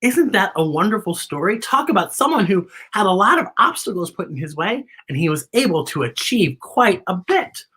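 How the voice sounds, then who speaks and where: An American woman hosting a children's story time, reading aloud and chatting in an expressive, encouraging way straight to young viewers. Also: medium pitch, moderate pace, few pauses, breathy edge. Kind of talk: live read-aloud with chatty commentary.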